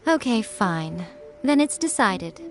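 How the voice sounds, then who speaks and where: A sly shrine priestess in a video game, aloof and playfully condescending. Her female voice sits at a middle pitch and sounds airy and haughty, with music clearly behind it. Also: big pitch swings, slow. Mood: bored, coy. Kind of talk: English video game voice acting.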